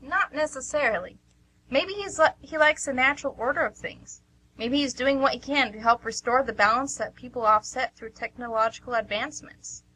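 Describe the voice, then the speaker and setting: A woman's voice: sits high, normal speed, bright, forceful tone, poor audio. A woman playing a sceptical, questioning train passenger in an amateur radio play. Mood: sceptical, bantering.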